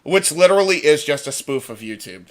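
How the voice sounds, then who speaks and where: A man's voice: fairly high pitch, lively intonation, a little fast, over a noisy crowd. A man hosting a casual livestream, chatting informally with plenty of swearing and repeated phrases. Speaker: livestream host.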